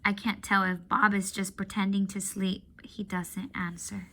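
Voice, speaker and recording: A woman teacher reading a novel aloud to her students, often softly whispering and stopping for emotional asides. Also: mid-range voice, little pitch movement, normal speed, slight background noise.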